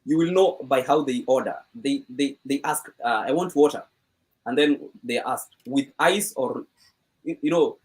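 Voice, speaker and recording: A man's voice: medium pitch, unhurried, rough recording. A man hosting a live stream in casual chat, upbeat and often repeating words.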